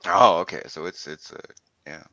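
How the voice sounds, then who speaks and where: A man's voice: deep voice, moderate pace, sharp tone, no echo, dull audio. A man chatting casually in a coarse, wry manner. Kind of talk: casual chat.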